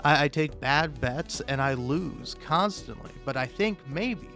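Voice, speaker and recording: A man improvising a self-deprecating comic monologue for a gaming video, rambling and halting, often interrupting himself and swearing casually. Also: on the high side, animated, sharp tone, faint music behind. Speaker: video game YouTuber.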